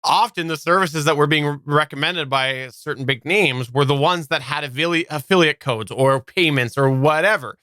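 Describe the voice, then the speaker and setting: A man's voice: fairly high pitch, normal speed, few pauses, bright, forceful tone, clear, resonant tone, dry recording. A man from Canada co-hosting a podcast, giving his opinions in casual conversation. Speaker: podcast co-host.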